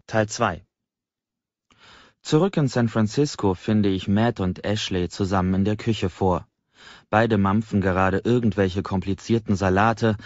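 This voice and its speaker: A man's voice: on the low side, a little mellow, no room sound. A man reading a novel as a scripted first-person audiobook narration. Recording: dry, slightly dull audiobook recording.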